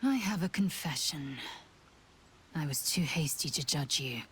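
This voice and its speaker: A woman playing an admiring, battle-hungry warrior in a video game, with a hushed, breathy and seductive delivery. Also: low voice, lively intonation, heavily acted. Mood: confessional. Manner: slow, deliberate, sultry delivery. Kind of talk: video game character acting.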